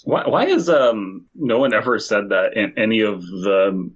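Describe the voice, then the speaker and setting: A man's voice: lowish voice, animated, a little slow, muffled recording. A man co-hosting a podcast, riffing on amateur stories in casual, mock-serious comedic banter. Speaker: podcast co-host.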